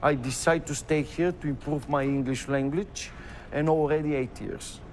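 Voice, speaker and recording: A man's voice: a little high, fairly even intonation, moderate pace, a little mellow, a little hiss or hum. A man from Bulgaria with a Bulgarian accent, talking unscripted as a cleaning crew supervisor. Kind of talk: unscripted talk for a documentary.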